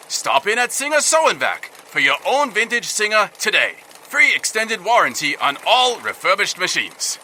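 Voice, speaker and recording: A man's voice: high-pitched, pushed and bright, slight background noise, heavily acted. A man playing a cheesy upbeat local radio ad announcer with a punchy, salesy delivery.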